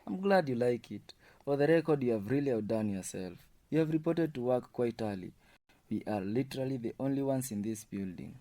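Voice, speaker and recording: A man's voice: medium pitch, expressive, a little fast, dark tone, no echo, lightly acted. A man acting a friendly, flirtatious and attentive co-worker in a radio drama. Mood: friendly, inviting.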